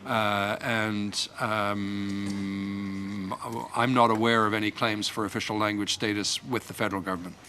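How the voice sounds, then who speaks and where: A man answering a question at a seminar panel, measured and halting with frequent um fillers. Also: on the low side, flat delivery, bright, forceful tone, a little hiss or hum. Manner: measured, with many pauses and um fillers.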